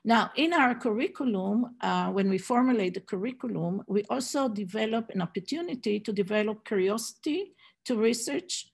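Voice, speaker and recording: A woman's voice: mid-range voice, animated, moderate pace, vocal fry, slightly rough. A middle-aged woman giving an academic conference talk over a video call, hesitant with many fillers and restarts. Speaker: Hebrew program director presenting at a conference. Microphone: video call webcam.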